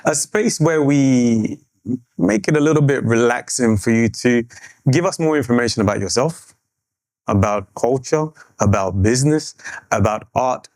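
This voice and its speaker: A man hosting a podcast in a relaxed, conversational way, often repeating words as he goes. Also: mid-range voice, dry recording. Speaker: podcast host.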